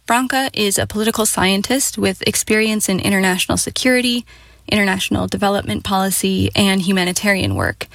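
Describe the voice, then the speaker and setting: A woman radio correspondent reading scripted narration clearly. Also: medium pitch, animated, brisk, a bit bright, no echo.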